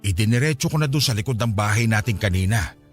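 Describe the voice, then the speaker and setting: A man's voice: lowish voice, expressive, fast talker, faint music behind, natural voice. A man playing a cheerful, caring working-class husband in a radio drama, easygoing and reassuring. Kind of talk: Filipino radio drama acting.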